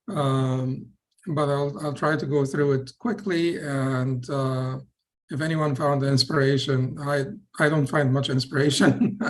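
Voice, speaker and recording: A middle-aged man from Egypt with an Egyptian accent speaks over a video call at a mid-range pitch. He gives a personal talk with frequent fillers and restarts, measured and unhurried. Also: poor audio. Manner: hesitant, many fillers and restarts. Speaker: physician guest speaker. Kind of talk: personal talk in an online session.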